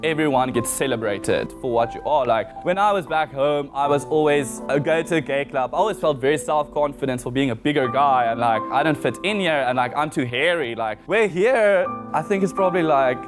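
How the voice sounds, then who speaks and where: A young South African man with an Afrikaans accent talks casually in an interview, his voice sitting a little high for a man. He rambles with lots of fillers and laughs easily. A clear music bed plays under him. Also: fairly quick, few pauses. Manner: chatty, laughs often, many "like" fillers. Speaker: interview guest.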